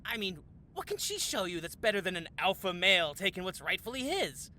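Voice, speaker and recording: Casting: pompous sexist male office rival voiced by a woman, bright, animated delivery, comedy audio drama, faint rumble. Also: fairly quick. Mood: conspiratorial, boastful. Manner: pompous, sneering.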